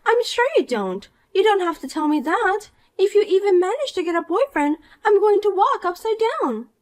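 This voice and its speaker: Woman voicing a vain spiteful young woman, high pitched, very sing-song, condescending, insulting. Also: big pitch swings, normal speed. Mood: scornful. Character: vain spiteful young woman, former school queen bee. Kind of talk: animated story dialogue.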